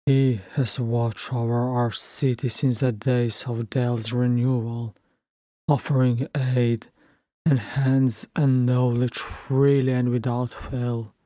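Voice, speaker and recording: A weary old king of a storybook realm, acted by a narrator, stern and commanding. He delivers formal, grave speech slowly and deliberately. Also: medium pitch, dark tone, no room sound, voice pushed down, natural voice.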